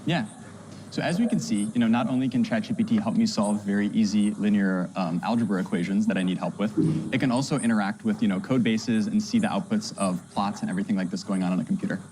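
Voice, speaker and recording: Fast-talking upbeat man in a noisy recording. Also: fairly low pitch, a little mellow. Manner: upbeat, casual, joking. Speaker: demo presenter. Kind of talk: casual live demonstration talk.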